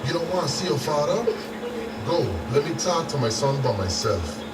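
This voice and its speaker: A man's voice: fairly high pitch, sing-song, moderate pace, reverberant, recorded from a distance, loud music behind the voice, rough recording. An actor in a radio drama playing an intense, hot-headed father with a Caribbean accent. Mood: concerned. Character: furious, hot-headed father bent on revenge.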